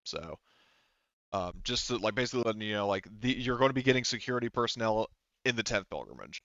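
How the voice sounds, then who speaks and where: A man's voice: fairly low pitch, expressive, quick pace, a bit bright, no room sound. A man acting as game master talks players through details in a patient, step-by-step way. His voice is clean and resonant. The recording is close and dry, with a slightly dull top end. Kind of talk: explaining a tabletop game to players.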